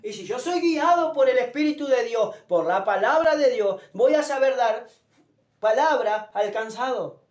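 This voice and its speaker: Preacher, sore strained throat, forceful exhorting delivery in a roomy space, unamplified and distant. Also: mid-range voice, lively intonation, unhurried. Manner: emphatic, exhorting, repeats phrases and addresses listeners as brother. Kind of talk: fervent evangelical sermon. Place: echoing room, preaching unamplified. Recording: roomy, distant pickup without a microphone, music behind.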